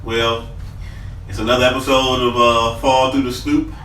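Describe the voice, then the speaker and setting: A man's voice: medium pitch, level tone, moderate pace, pushed and bright, recorded from a distance. A man with a congested, stuffy nose, co-hosting a casual podcast, joking, swearing freely and mimicking people. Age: adult parent. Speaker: podcast co-host. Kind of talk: casual profane banter. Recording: roomy, off-mic, faint noise.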